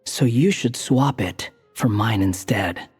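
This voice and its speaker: A man voicing an aloof, sharp-tongued young wanderer in a video game, dry and curt. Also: fairly low pitch, slow pace, breathy edge, full character voice. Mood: smug. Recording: echoey game audio with music.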